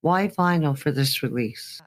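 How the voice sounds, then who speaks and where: A woman's voice: low voice, lively intonation, unhurried. Female radio-style interviewer, hosting a music show and putting questions to guests. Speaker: host.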